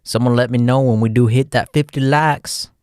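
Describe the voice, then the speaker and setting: Folksy Texan-accented livestream host. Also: male voice, medium pitch, fairly even intonation, moderate pace, clear, resonant tone, dry recording, studio quality. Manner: folksy, repeats "ladies and gentlemen". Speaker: livestream host, market analyst. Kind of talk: casual livestream chart commentary.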